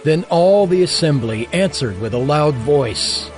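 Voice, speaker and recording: A man giving scripted narration for a dramatized Bible, measured and solemn. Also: fairly high pitch, lively intonation, faint music behind.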